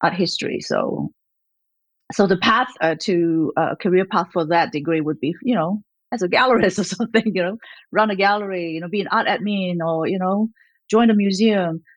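Rambling woman, lowish voice. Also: moderate pace, dry recording, dull audio. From Malaysia. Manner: rambling, with frequent fillers and light laughs. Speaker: gallerist, guest. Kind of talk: conversational interview.

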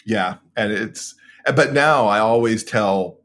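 A man's voice: on the low side, takes it slowly, fairly bright tone. A man talking as a guest author in a casual interview, telling stories and acting out his own past reactions.

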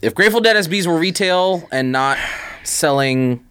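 A man's voice: on the high side, animated, moderate pace, a bit bright, clear, resonant tone, no echo, studio quality. A man co-hosting a podcast, talking casually and conversationally with plenty of slang. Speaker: podcast co-host.

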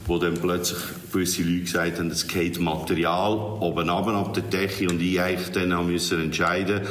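Casting: middle-aged male fire chief, Swiss German accent, very low voice, unscripted interview in an echoey room. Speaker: fire brigade commander. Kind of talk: spontaneous interview answers.

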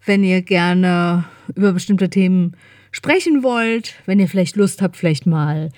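A woman's voice: on the low side, a little slow, a little mellow, no echo. A woman co-hosting a podcast, chatting in a casual, informal way into a close microphone. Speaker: podcast co-host. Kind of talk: casual chat.

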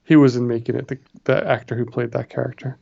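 A man's voice: medium pitch, steady tone, fast talker, gravelly, a little mellow, muffled recording. A man in his forties co-hosting a podcast, chatting casually and rambling through memories. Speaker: podcast co-host. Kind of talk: casual movie chat.

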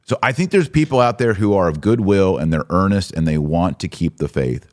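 A man's voice: deep voice, animated, a little fast. A man hosting a podcast, giving opinionated religious commentary in an emphatic, exhorting manner with rhetorical questions. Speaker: podcast host.

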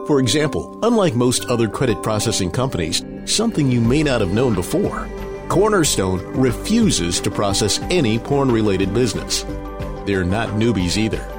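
A male announcer reads a sponsor spot in a slow, emphatic promotional style with a lively, animated pitch. Music plays clearly under the read. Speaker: show announcer. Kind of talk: read radio ad.

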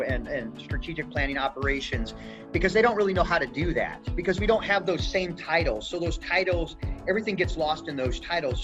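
A man's voice: fairly high pitch, dull audio. A man in his forties from the US Midwest, speaking as an interview guest in a loose, rambling way with many fillers and restarts. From Midwest US (Indiana/Michigan). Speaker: guest, retired Air Force pararescueman. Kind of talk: casual interview talk.

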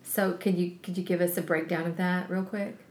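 A woman's voice: fairly low pitch, level tone, brisk. A woman co-hosting a podcast with casual, chatty talk, laughing easily and poking fun at herself. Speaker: podcast co-host, chef. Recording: roomy echo.

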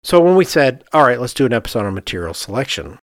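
A man's voice: medium pitch, big pitch swings, fast, no echo, studio quality. A man co-hosting a podcast as an architect, talking casually and thinking aloud, often voicing his own reasoning as quoted asides. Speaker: podcast host.

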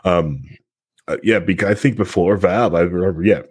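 Male podcast host, low-pitched and raspy, casual and rambling, recorded dry. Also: moderate pace, a little mellow, no echo. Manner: joking, rambling. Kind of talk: casual chat.

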